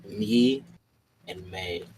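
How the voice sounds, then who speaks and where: A man playing a tabletop roleplay game, chatting casually and haltingly, often repeating his words. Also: fairly low pitch, a little mellow, slight background noise.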